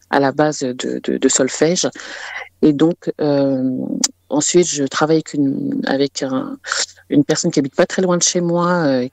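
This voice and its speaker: A woman's voice: sits low, a little mellow, no room sound. Woman chatting, close dry sound. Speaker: interview guest, singer-songwriter. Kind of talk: casual radio interview.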